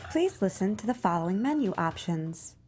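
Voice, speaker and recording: A woman's voice: medium pitch, moderate pace, slight background noise, close to an everyday voice. A woman voicing an unhelpful automated phone menu at a script table read. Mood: robotic. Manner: polite, flat, scripted.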